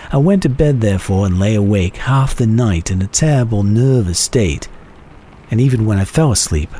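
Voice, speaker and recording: Mellow, low-voiced man reading a story. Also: slight background noise. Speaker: narrator, reader. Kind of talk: dramatic reading of a classic short story.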